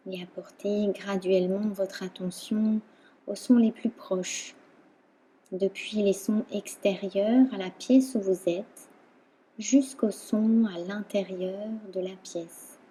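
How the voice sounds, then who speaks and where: A woman instructor guiding a relaxation meditation in a calm, soothing manner, leaving long pauses between phrases. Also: mid-range voice, slightly rough, rough recording. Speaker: yoga nidra instructor.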